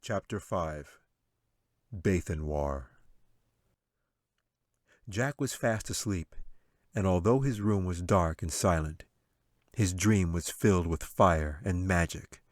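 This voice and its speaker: A man's voice: low voice, slow pace, long pauses, no room sound, clean studio sound. A man reading scripted fantasy fiction as the author and narrator of an audiobook.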